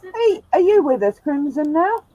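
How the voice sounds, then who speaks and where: A woman's voice: high voice, big pitch swings, measured pace, dark tone, dry recording. A woman hosting a sci-fi live stream, chatting casually and laughing often, in a room with a glass roof. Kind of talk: casual chat. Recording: dull lo-fi dry sound.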